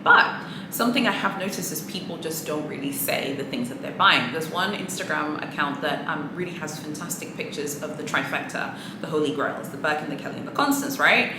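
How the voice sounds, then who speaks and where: A woman with a fairly low voice talks casually and earnestly to camera, like a motivational pep talk for her viewers. She restarts her phrases and laughs softly now and then. The room is echoey, and a steady background noise sits under her voice. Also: brisk. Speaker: luxury handbag vlogger.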